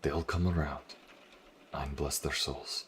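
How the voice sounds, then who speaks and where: Paternal priest played by a man, very low bass, calm and solemn audio drama counsel over light ambience. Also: slight background noise, exaggerated voice. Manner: measured, solemn, reassuring. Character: calm, paternal priest mentor, gently devout.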